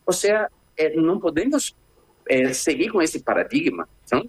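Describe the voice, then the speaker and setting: Brazilian Portuguese-accented man, husky, urgent interview guest who keeps restarting his words. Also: fairly high pitch, very animated. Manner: impassioned, halting with restarts and fillers. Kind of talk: remote interview.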